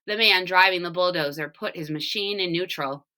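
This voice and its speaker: A woman reading a children's storybook aloud, with expressive, deliberate phrasing and short explanations. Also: on the low side. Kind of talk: read-aloud storytelling with brief picture explanations.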